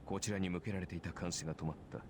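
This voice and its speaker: A composed, battle-worn warrior from a visual novel game, speaking in a very low voice with dry, sardonic formality. The delivery is quick yet controlled, over clearly noisy game audio. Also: male voice, steady tone. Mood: cool, calculating. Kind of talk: Japanese visual novel voice acting.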